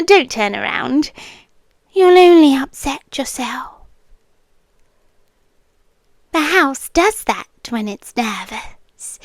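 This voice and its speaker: An age-old female monster with a possessive, teasing streak played by a woman in an ASMR roleplay. Her British voice is high and hushed, often slipping toward a whisper. She takes her time with every phrase, close to the mic in a very dry recording. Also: no echo, exaggerated voice. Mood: teasing, amused. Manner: slow, hushed, deliberate, often dropping into whispers. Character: ancient female predator fae, possessive, teasing yandere girlfriend. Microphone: close microphone, dry.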